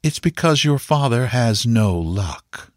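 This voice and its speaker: A weary mother as a male narrator plays her explains things to her child with quiet bitterness. The voice is lifted in pitch, in the manner of audiobook dialogue, and recorded very dry. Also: big pitch swings, measured pace, dry recording. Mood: slow, bitter.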